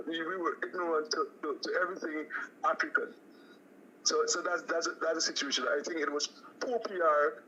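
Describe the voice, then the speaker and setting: A Guyanese man phones in to a morning show, his bright, forceful voice coming through a slightly dull, muffled line. He stumbles and restarts often, leaning on "um" as he works through his thoughts. His pitch sits a little high. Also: a little hiss or hum. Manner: halting, many restarts and fillers. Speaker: caller. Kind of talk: call-in radio chat.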